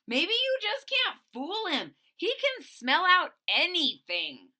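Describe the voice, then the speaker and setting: An earnest young dinosaur boy from a storybook, voiced by a woman. His voice sits very high and bright and swings widely in pitch. He sounds worried and pleading, a well-meaning kid. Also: wildly swooping pitch, slow pace, full character voice. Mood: defensive.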